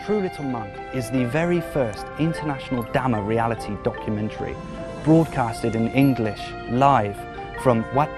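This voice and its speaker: A man presenting a documentary to camera outdoors at a rural monastery, speaking in a formal, measured way with some repeated phrasing, and chatting cheerfully with children. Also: medium pitch. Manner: jokes and chats cheerfully with children.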